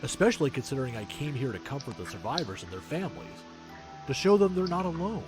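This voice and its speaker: A man's voice: medium pitch, sing-song, fast, loud music behind the voice, lightly acted. An actor playing an idealistic male senator in a visual novel game, speaking in a polished, earnest public manner. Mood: earnest, reassuring.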